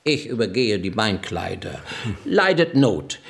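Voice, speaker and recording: An actor playing a pompous, bossy gentleman traveller in a German radio play, mannered and commanding. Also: fairly low pitch, big pitch swings, unhurried, gravelly, exaggerated voice. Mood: brisk, haughty.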